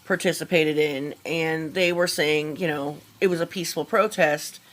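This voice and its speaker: Low-pitched woman giving casual commentary. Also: fairly even intonation, moderate pace. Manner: informal, opinionated, slangy. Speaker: video creator commenting on a case.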